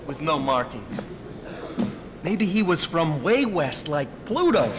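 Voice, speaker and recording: Mocking male fighter pilot: lively pitch, sarcastic needling, reverberant noisy setting. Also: slow pace. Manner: sarcastic, teasing. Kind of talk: casual dramatic dialogue.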